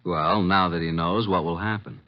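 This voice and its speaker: An actor playing a wry, suspicious detective's assistant with an American accent, dry and probing in 1950s radio style. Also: male voice, sits low, fairly even intonation, normal speed, natural voice. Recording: muffled vintage radio recording.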